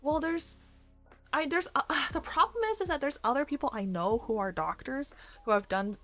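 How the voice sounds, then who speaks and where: A young woman who streams and works as a doctor, chatting casually with a fairly deep voice and a rambling, filler-heavy way of talking. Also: expressive, moderate pace, a bit bright, soft background music. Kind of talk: casual chat with live chat readers. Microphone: desk microphone.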